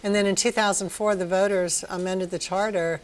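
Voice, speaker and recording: A woman from Northern Virginia working as a city manager, giving articulate, measured answers in a TV interview with occasional ums. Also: mid-range voice, steady tone, poor audio. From Northern Virginia, USA. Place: TV studio.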